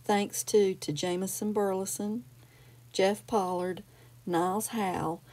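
A woman's voice: mid-range voice, steady tone, slow, a little mellow. A woman presenter giving a scripted introduction and credits for a children's story program.

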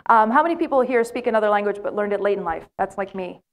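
A woman's voice: medium pitch, quick pace. A middle-aged American woman giving a plenary lecture in a large lecture hall, telling stories and putting questions to the audience. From United States. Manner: animated storytelling with questions to the audience. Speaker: plenary speaker.

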